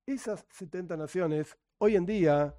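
A man's voice: high-pitched, measured pace, warm tone. A middle-aged man from Buenos Aires with a Rioplatense accent, giving an informal Torah lesson full of rhetorical questions and sarcastic asides. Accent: Rioplatense Spanish. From Argentina, Buenos Aires. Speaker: Torah teacher.

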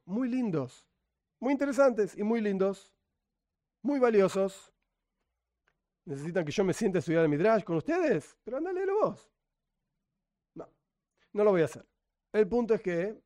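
A man's voice: sits high, dark tone. A middle-aged man from Buenos Aires with a Rioplatense accent, giving an informal Torah lesson full of rhetorical questions and sarcastic asides. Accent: Rioplatense Spanish. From Argentina, Buenos Aires. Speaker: Torah teacher.

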